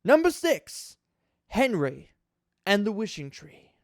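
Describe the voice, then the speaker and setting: A man's voice: high voice, big pitch swings, slow, no echo, studio quality. A man hosting a mock dramatic reading in a theatrical, exaggerated tone of outrage. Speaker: YouTube host reading a list.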